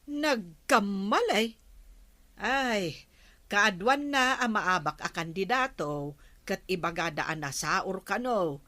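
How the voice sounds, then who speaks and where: Captain's wife, Ilocano radio drama: nosy, sharp-tongued, pointed questions, medium pitch, very dry recording. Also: female voice, expressive, slow pace, no room sound. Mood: defensive, scornful.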